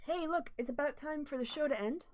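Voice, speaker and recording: A woman's voice: high-pitched, a little fast. A woman hosting a casual livestream, talking through ideas with restarts and answering viewer comments. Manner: thinks aloud with restarts, explanatory and opinionated. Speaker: livestream host.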